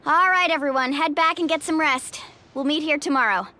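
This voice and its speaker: High-voiced, commanding young female leader. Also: brisk, pushed and bright. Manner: earnest, decisive. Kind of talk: anime game voice acting.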